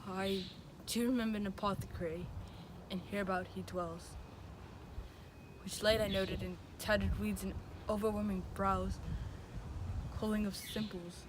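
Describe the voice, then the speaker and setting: A woman's voice: mid-range voice, fairly even intonation, a little slow, pauses often, noisy background, lightly acted. A woman playing a lovesick young man driven desperate by grief, in declamatory, theatrical Shakespearean verse. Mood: grim, brooding. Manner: declamatory, impassioned.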